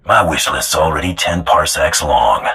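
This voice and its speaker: A tough video game bounty hunter, gruff and cocky. The voice is extremely deep and raspy, with a hard forceful edge, delivered in terse, wry lines. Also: male voice, moderate pace, heavily acted. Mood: cocky, relaxed. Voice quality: gravelly, husky. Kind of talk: video game character acting.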